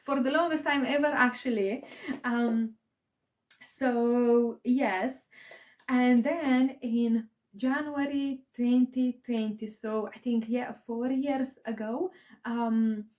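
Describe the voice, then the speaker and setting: A woman's voice: a little high, steady tone, takes it slowly, darkish tone. A woman in her thirties from Romania with a Romanian accent, English as her second language, chatting casually about her writing journey with lots of fillers and restarts. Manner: rambling, many fillers and restarts. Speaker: aspiring novelist vlogger. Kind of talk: casual personal vlog talk.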